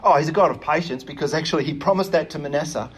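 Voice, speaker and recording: A man preaching a sermon in an earnest, emphatic way, often pausing with hesitations. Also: fairly high pitch, breathy edge.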